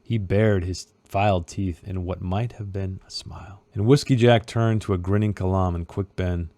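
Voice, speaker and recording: Guy chatting through a book chapter, casual asides, dark mellow voice, very dry sound. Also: low-pitched, steady tone, moderate pace, no echo. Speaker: book club host. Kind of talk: casual chapter recap with read-aloud passages.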